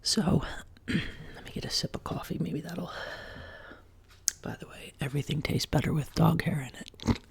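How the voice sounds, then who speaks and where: A woman hosting a soft-spoken ASMR chat, sick and sounding lower than usual, drifting between quiet speech and whispers in her home studio. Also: normal speed, gravelly, pauses often, breathy edge. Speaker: ASMR channel host. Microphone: binaural desk microphone.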